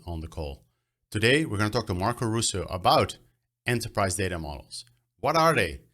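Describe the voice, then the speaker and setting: Upbeat man hosting a show, lowish voice. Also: a bit bright. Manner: enthusiastic, upbeat. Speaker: podcast host. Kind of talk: casual video-call interview. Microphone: video call.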